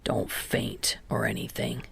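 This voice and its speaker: A woman voicing a blunt teenage boy athlete in a low, breathy murmur with a flat, grumbling, halting manner for a story reading. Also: no room sound, lightly acted. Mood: flat, serious.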